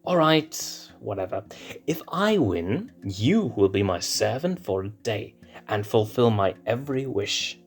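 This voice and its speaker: A man's voice: lowish voice, expressive, a little slow, soft background music. A man voicing a shy young male lion cub prince who stammers and hesitates. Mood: defensive.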